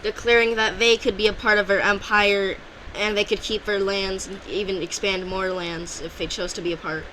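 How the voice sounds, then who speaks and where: A woman's voice: mid-range voice, flat delivery, normal speed, hardly any pauses, pushed and bright, a little hiss or hum, low-quality recording. A woman narrating an alternate-history map video in a loose, unscripted way, often restarting and correcting herself mid-sentence. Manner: loose and rambling, with frequent restarts and self-corrections. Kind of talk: casual unscripted map commentary.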